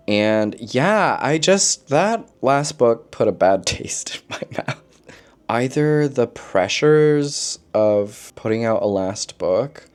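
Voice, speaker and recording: A man chatting casually as a book vlogger, expressive and slangy, with playful asides. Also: on the low side. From lives in Korea, origin unstated. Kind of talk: casual chat, book recommendations to camera.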